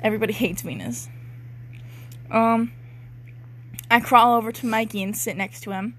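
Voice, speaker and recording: A woman's voice: a little high, steady tone, normal speed, a bit bright. A young woman reading amateur fiction aloud casually as co-host, breaking in with wry, giggly, sweary asides. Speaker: co-host and reader.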